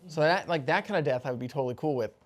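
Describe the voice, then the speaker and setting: A man's voice: on the high side, rapid-fire. A man chatting casually as a player after a tabletop game. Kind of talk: casual post-game chat.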